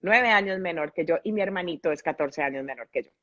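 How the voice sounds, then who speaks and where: A low-pitched woman with a Colombian accent answers interview questions in a reflective, explanatory way. The sound is dry and close, though dull and lo-fi. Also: quick pace, bright, forceful tone, no room sound. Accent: Colombian Spanish. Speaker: podcast guest and grief facilitator.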